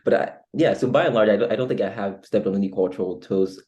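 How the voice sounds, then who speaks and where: A Ghanaian-accented man talks as a guest in a casual conversation. His voice is very low, with a soft, dark warmth. He chooses his words in a measured, diplomatic way. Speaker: interview guest.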